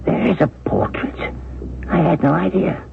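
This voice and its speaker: An actor playing a frail, formal old gentleman with a creaky, halting voice in a 1970s radio drama. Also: high-pitched. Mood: stunned. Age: older. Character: frail, heartsick old gentleman haunted by lost love. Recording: noisy, phone-like old radio broadcast recording.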